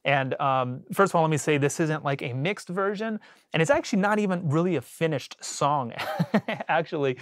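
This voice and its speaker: A man hosting a casual livestream as a bassist, rambling with lots of fillers and restarts, his voice a little off after a cold. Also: no echo, clean studio sound. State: recovering from a cold.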